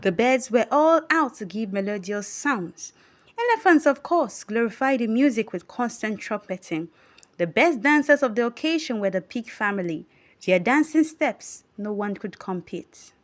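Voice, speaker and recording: Female narrator, higher-pitched voice, for scripted children's storybook; expressive, deliberate read-aloud delivery.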